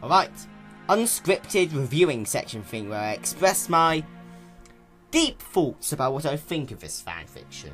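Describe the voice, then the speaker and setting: A man's voice: fairly high pitch, very animated, unhurried, fairly bright tone, soft background music. A man giving an unscripted, casual review in a rambling, mock-grandiose way with exaggerated emphasis. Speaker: fan fiction reader and reviewer.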